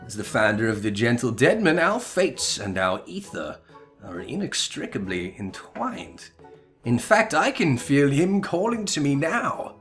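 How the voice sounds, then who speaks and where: Man putting on a theatrical, courteous zombie, animated pitch. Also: measured pace, faint music behind. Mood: reassuring, grand. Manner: theatrical, old-fashioned formal diction. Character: courteous, florid male zombie emissary, gently melancholy. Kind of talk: melodramatic video game dialogue reading.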